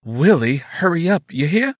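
A man's voice: fairly high pitch, huge pitch swings, moderate pace, pushed and bright, husky, no echo, studio quality, pitched above the performer's own voice, exaggerated voice. A man voicing a bossy, anxious girl, insistent and scolding, in an audiobook. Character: bossy, anxious Southern girl, older sister Mary. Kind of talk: dramatised audiobook dialogue.

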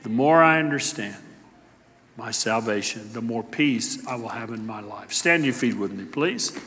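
A middle-aged man preaching a sermon to a church congregation, talking emphatically and swinging between loud declarations and hushed asides. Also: medium pitch, animated, moderate pace, big-room sound, a little hiss or hum. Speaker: pastor. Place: church sanctuary.

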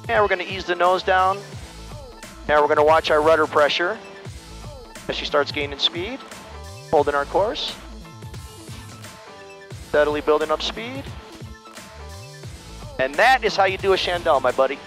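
Middle-aged pilot calling out maneuvers. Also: male voice, a little high, normal speed, pauses often, faint music behind. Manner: calm, steady step-by-step callouts. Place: small plane cockpit in flight. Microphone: aviation headset mic.

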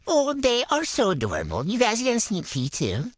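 A teenage girl gushes over her haul in a bright, highish voice that swings up and down. Her speech passes through a voice changer effect, and the sound is close and dry from a handheld phone in her bedroom. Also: normal speed, dry recording. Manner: gushing, excitable, chatty with fillers. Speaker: vlogger showing a haul. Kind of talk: casual unboxing chat.

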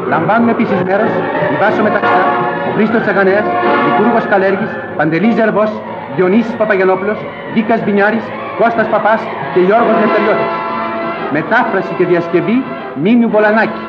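A man announcing radio drama credits in a formal, projected manner. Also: sits high. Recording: muffled archive radio, loud music and crowd.

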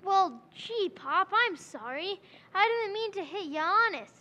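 A woman's voice: extremely high pitch, animated, a little slow, pushed and bright, muffled recording, full character voice. A woman voicing a sulky, whiny fourteen-year-old boy who talks back to his father.

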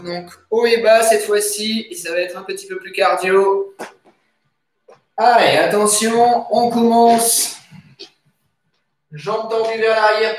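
A high-voiced man instructs a fitness class in short, energetic cues. He speaks very slowly with pauses. The sound is distant, picked up across an indoor room. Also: slight background noise. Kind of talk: exercise class instruction with counted repetitions. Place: indoors, bare room with wooden floor. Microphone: far camera microphone. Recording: echoey room, distant microphone, music behind.

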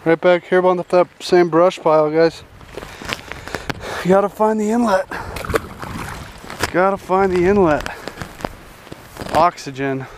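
A young man hosting a casual fishing vlog outdoors at a rural pond, chatty and excited, calling everyone "dude" and "guys", recorded on a head-mounted action camera. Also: high voice, takes it slowly, pauses often, slight background noise. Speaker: fishing channel host.

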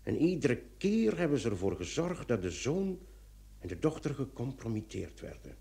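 A man's voice: medium pitch, very animated, normal speed, creaky, mellow. An actor playing an earnest, anxious police commissioner in a radio play, emphatic and often repeating his words. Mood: dawning realisation. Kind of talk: Dutch-language radio drama acting.

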